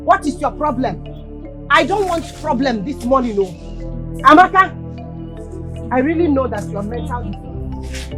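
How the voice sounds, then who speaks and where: A woman's voice: sits high, expressive, moderate pace, long pauses, over music. A woman with a Nigerian accent acting an indignant, scolding village woman in a film drama, firing off rhetorical questions.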